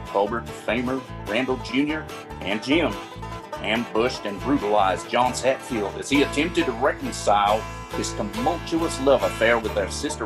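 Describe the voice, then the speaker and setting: A man narrating a radio drama in a grandiose storytelling manner with dramatic pauses. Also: mid-range voice.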